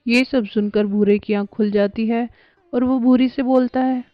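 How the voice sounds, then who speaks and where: A woman's voice: on the high side, fairly even intonation, moderate pace, dry recording, dull audio, faint music behind. A woman narrating a scripted cartoon story for children.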